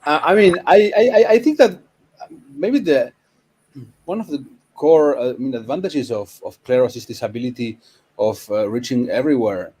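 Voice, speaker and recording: A man's voice: mid-range voice, expressive, normal speed, warm tone, breathy edge. Man with an Argentine Spanish accent. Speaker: panelist. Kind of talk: informal panel discussion.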